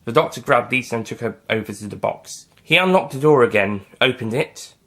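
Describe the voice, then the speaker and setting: A man's voice: mid-range voice, brisk, a bit bright. A man reading a scripted science-fiction adventure story as its author and narrator.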